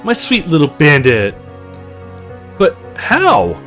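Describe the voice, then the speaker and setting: A man's voice: fairly high pitch, huge pitch swings, slow pace, a bit bright, faint music behind, exaggerated voice. Playful young woman, acted by a man, emotional, teasing, very swooping intonation, visual novel game reading. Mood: overjoyed, emotional.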